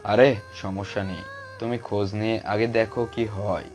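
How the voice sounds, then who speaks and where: A man's voice: lowish voice, steady tone, moderate pace, faint music behind, lightly acted. A man playing a familiar, reassuring associate of a mafia boss in an audio story. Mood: concerned, reassuring. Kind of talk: amateur Bengali audio-story acting.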